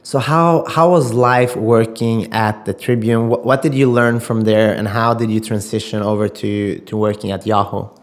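A man's voice: on the low side, fairly even intonation, moderate pace, hardly any pauses. A man, introducing and questioning a guest as an interview host. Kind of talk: conversational interview hosting.

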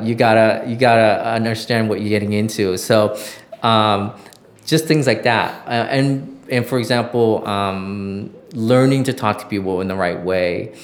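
Low-voiced, reflective American man. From Southern California. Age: middle-aged. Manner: hesitant, reflective, searching for words. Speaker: interview guest, former journalist. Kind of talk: conversational interview storytelling.

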